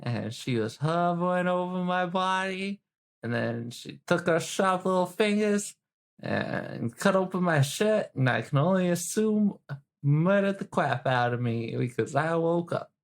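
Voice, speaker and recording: Slangy, lowish-voiced woman role-playing casually. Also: lively intonation, unhurried. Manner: flippant, slangy, hesitant. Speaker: tabletop role-playing game player. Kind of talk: casual improvised in-character chat.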